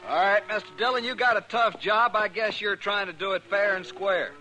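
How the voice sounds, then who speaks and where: Sharp-voiced American trail boss. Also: male voice, sits high, moderate pace, faint music behind. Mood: grim. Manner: blunt, folksy. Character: desperate, hot-tempered cattle trail boss. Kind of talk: 1950s radio western acting. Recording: noisy vintage 1950s radio recording.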